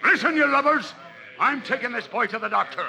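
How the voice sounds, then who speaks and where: Pirate captain for a story record: piercingly bright, gravel-throated, cunning and domineering, bellowing sea-dog speech. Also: male voice, very high voice, brisk, exaggerated voice. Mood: commanding, fierce. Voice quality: gravelly, forceful. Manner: bellowing commands in old seafaring pirate talk. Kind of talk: dramatized adventure story record. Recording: noisy old vinyl record.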